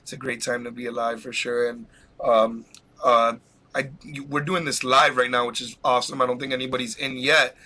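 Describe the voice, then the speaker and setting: An excited man hosts a casual live podcast, voice bright and forceful. He rambles, restarts and laughs often, sounding like he's pushing through a cold. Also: medium pitch, normal speed. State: has a cold. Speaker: podcast host.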